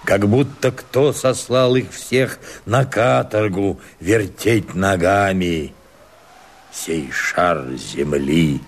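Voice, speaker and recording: An older man, an actor playing a weary, solemn old watchman who slowly declaims verse in a radio play, brooding and husky-voiced. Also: low voice, heavily acted. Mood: brooding wonder. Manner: slow, declamatory, pensive.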